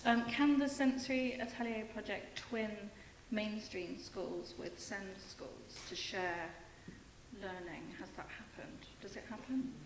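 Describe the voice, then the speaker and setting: A woman's voice: medium pitch, slight background noise. Tentative British woman asking from the audience. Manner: tentative, quick. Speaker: audience member. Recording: distant echoey hall with clicks.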